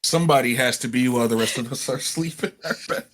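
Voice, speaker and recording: A man's voice: medium pitch, fast, a bit bright. A man banters as a player in a tabletop role-playing game. His voice is in the middle range, and he talks informally with mock grumbling and some swearing. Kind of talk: casual banter.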